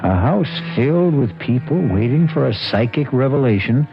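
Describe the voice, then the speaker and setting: Gravelly male radio mystery host. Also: mid-range voice, animated, normal speed. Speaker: host and narrator. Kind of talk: scripted radio drama narration. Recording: muffled old radio broadcast with music.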